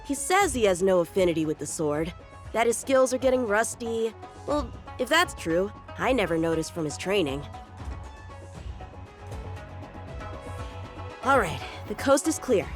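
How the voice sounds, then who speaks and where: A woman's voice: mid-range voice, sing-song, moderate pace, lightly acted. An actress voicing an earnest, cocky young boy swordsman in a video game, speaking formally and confidently. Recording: music behind the voice.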